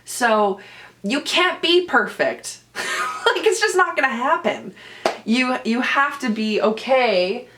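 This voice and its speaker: A young woman hosting her own podcast, an actor with a loud, boomy voice, chatting casually and animatedly, laughing often. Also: animated, takes it slowly, background noise. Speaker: podcast host. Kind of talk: casual solo podcast chat.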